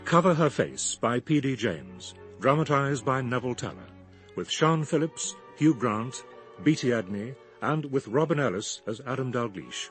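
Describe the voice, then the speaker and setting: Male announcer, mid-pitched, scripted drama introduction with music clearly behind. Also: sing-song, normal speed.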